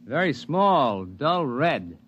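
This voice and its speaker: An American actor playing a level-headed outdoorsman in an old radio drama, telling his story in a measured, plainspoken way. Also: mid-range voice, very animated, a bit bright, dull audio. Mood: intent, conversational. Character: level-headed male outdoorsman narrating his mountain adventure. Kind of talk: old-time radio drama acting, first-person narration and dialogue. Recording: noisy vintage radio drama recording.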